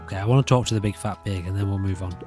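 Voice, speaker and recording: A man with a fairly low voice hosts a casual let's play. He rambles and repeats himself as he comments, with faint game music behind him. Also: rapid-fire. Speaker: gaming channel host.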